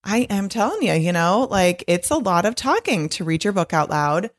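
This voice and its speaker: A middle-aged woman hosting her podcast alone, her voice a little husky, talking in a chatty, rambling way with frequent laughs. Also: medium pitch, very animated, moderate pace. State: slightly husky from long recording. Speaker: podcast host and author. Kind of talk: casual solo podcast monologue.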